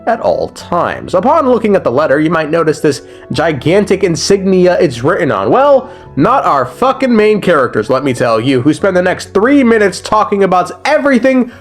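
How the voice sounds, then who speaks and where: A man's voice: high voice, huge pitch swings, moderate pace, hardly any pauses, faint music behind. A young man giving a casual, slangy and profane recap commentary as a gaming video creator, joking as he goes.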